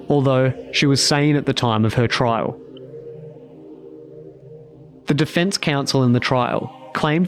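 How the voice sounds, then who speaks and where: A man narrating a scripted true-crime story with measured, deliberate phrasing. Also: mid-range voice.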